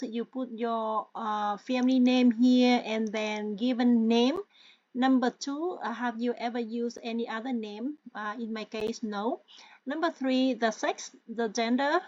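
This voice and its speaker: A woman talks through a tutorial with patient, hesitant pacing and frequent check-ins with her listener. Her voice sits fairly high and sounds dry and close, with no room around it. The recording itself is dull and a little lo-fi. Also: a little mellow, no echo. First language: Vietnamese. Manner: hesitant, with many fillers. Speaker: tutorial presenter. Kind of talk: step-by-step screen-share walkthrough.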